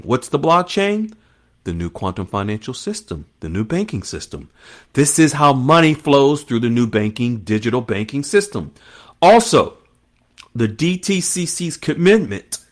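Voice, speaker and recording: A man's voice: fairly high pitch, a bit bright. A man hosting an online finance news monologue, speaking in short emphatic phrases and repeating key terms as he explains them to followers. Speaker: host of an online currency news channel.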